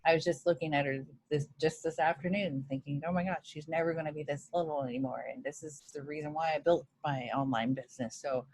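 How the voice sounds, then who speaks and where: A woman's voice: sits low, steady tone, quick pace, few pauses, darkish tone, husky, poor audio. A woman from Hawaii, a guest on a video call interview. She speaks in an earnest, reflective way. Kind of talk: casual interview chat.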